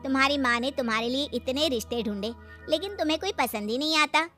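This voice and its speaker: A meddling neighbourhood auntie, played by a woman, high and bright in voice. She coaxes and pries like a matchmaker in a cartoon story, over soft music. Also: fast. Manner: coaxing, nosy. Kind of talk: Hindi cartoon story acting.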